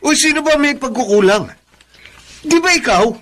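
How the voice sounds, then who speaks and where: An actor playing a caddish young playboy who is cocky and dismissive, with a Filipino accent, in a radio drama. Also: male voice, very high voice, expressive, moderate pace, heavy background noise. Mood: defensive. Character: caddish young playboy boyfriend, shameless, blame-shifting.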